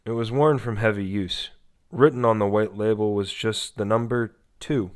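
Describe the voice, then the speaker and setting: A man with a fairly low voice narrates a scripted horror story. He reads as a storyteller, moving through descriptive prose. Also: steady tone, normal speed.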